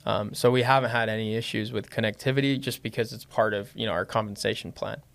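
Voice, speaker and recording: A man with a slight Lebanese accent, talking casually as a podcast guest. He rambles with many fillers and restarts, and grows livelier and louder when excited. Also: lowish voice, fast, vocal fry. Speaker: podcast guest, business owner. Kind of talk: casual conversation.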